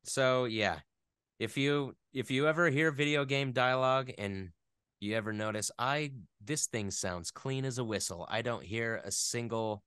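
A man in a casual interview, explaining things in a halting, conversational way as a guest expert. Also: medium pitch, fairly bright tone, clear, resonant tone, dry recording, studio quality. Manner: halting, filler-heavy explaining with playful sound imitations. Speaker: interview guest, game audio editor and voice actor.

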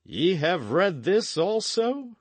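A man's voice: mid-range voice, very animated, a little slow, no room sound, voice pushed up. A man voicing a stern but fair-minded English earl in an audiobook, with formal, weighty, archaic speech. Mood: shocked, intense.

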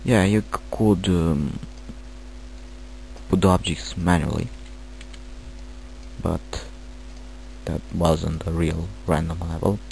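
A man with a Romanian accent, not a native English speaker, halting through a screen-recorded software tutorial with many fillers. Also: deep voice, fairly even intonation, gravelly, slight background noise, rough recording. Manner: hesitant, halting, filler-heavy. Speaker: tutorial maker.

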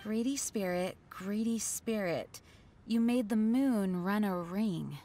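Earnest, composed knight leader. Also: female voice, mid-range voice, dark tone. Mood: thoughtful. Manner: formal, measured. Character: earnest, composed young woman knight leader, kind and dutiful. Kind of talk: video game voice acting.

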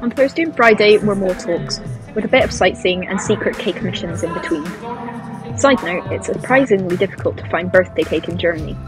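A woman's voice: fairly low pitch, lively intonation, brisk, music in the background, rough recording. A woman in her twenties, a PhD student, narrating a vlog and chatting casually. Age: 20s.

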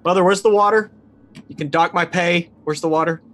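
A man's voice: sits high, steady tone, a little fast, fairly bright tone, pitched above the performer's own voice. A man playing an anxious, parched crash survivor in a tabletop game, stammering and insistent. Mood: desperate.

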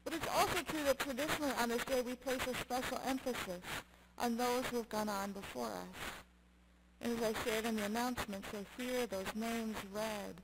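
High-voiced woman preaching, noisy recording. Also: steady tone, moderate pace. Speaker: Lutheran pastor. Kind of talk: sermon with scripture reading. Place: church sanctuary, at the pulpit. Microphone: gooseneck pulpit microphone. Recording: clearly noisy church sound recording.

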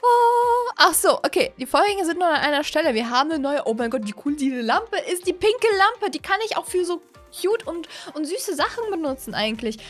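Casting: woman, 20s, high voice, gushing gaming host, chatty and repetitive, close studio mic, soft music underneath. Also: big pitch swings, a little fast, few pauses. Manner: gushing, repeats words and phrases. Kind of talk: excited casual reaction commentary to camera. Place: small indoor streaming room. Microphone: close studio microphone on a boom arm.

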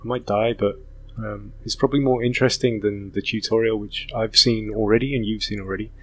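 A hoarse man hosting a casual gaming commentary, rambling with many ums. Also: fairly low pitch, steady tone, a little fast. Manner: rambling, self-interrupting, full of ums. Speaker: gaming channel host. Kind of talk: casual let's play commentary.

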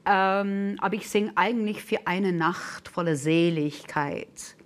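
A woman's voice: lowish voice, takes it slowly. A middle-aged woman singer chatting fluently with fillers as a talk show guest in a TV studio. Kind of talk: casual chat.

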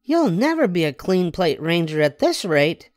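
Casting: male reader as chirpy little girl friend, high and knowing, children's storybook, dry sound. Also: very animated, moderate pace, dry recording. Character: chirpy, know-it-all little girl playmate. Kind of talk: storybook character voice.

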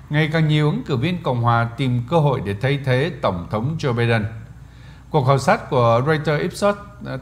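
A man reads a news report as a voice-over in a plain, matter-of-fact way. A faint hiss of noise sits under his voice. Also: mid-range voice.

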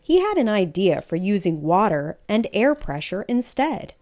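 A woman reading a children's picture book aloud in an expressive, emphatic storytelling manner. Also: medium pitch, moderate pace.

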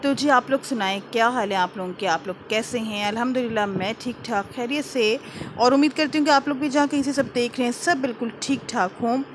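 Chatty higher-voiced woman home vlogger. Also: fairly quick, slight background noise, poor audio. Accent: Urdu-speaking, Pakistani. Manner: chatty and warm, filler-heavy "jī". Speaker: vlogger, channel host. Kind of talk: casual home vlog talk to viewers. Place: home kitchen and living room, indoors.

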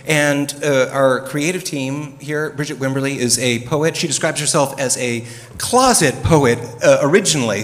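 A middle-aged man moderates a panel on a theater stage, speaking into a handheld mic. His talk is chatty and full of ums and restarts. His voice sits at a mid pitch, and a long hall reverb hangs after it. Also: fairly bright tone. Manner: chatty, many fillers and restarts. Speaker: moderator, opera dramaturg.

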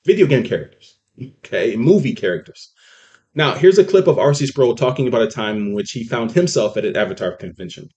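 A man's voice: mid-range voice, lively intonation, fairly quick, a little mellow, highs cut off, a little hiss or hum. A man giving a casual sermon-style commentary, emphatic, often saying "okay" and "see" as he makes his point. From California. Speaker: online preacher.

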